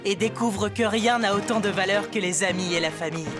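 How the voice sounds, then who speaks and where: A woman's voice: mid-range voice, a little fast, music in the background, lightly acted. A woman reading a scripted episode introduction for a cartoon as narrator.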